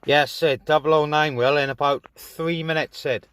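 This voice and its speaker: A man's voice: on the high side, steady tone, normal speed, a bit bright. A man with a British accent giving casual, informal running commentary while setting up radio gear. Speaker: CB radio hobbyist vlogger. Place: on a windy hilltop by a vehicle. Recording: windy, noisy handheld outdoor recording. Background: wind and radio noise.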